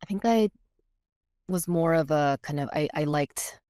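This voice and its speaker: A woman's voice: deep voice, unhurried, warm tone, no room sound, clean studio sound. A woman in her thirties, born in Korea with Korean as her first language and raised in California, talks reflectively as a podcast guest, searching for words as she goes. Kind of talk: casual chat.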